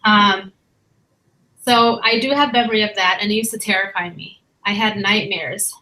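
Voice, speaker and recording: A woman from Ethiopia, a guest sharing her life story in a relaxed, open way with many pauses and fillers. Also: mid-range voice, rough recording. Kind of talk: casual personal storytelling.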